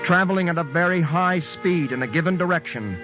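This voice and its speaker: A man's voice: a little high, lightly acted. Man acting grave scientist, muffled old radio. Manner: formal, measured, dramatic pauses. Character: grave male scientist recounting his strange flight. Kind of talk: old-time radio drama narration. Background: music.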